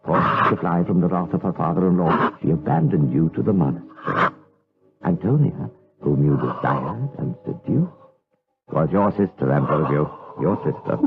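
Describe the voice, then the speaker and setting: Theatrical, gloating demon, wildly swooping voice. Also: male voice, low voice, big pitch swings, moderate pace, gravelly, full character voice. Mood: accusing. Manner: theatrical, imperious, taunting. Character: malevolent, gloating male demon tempter, cruel and commanding. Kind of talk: gothic audio drama acting. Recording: band-limited lo-fi audio under loud music.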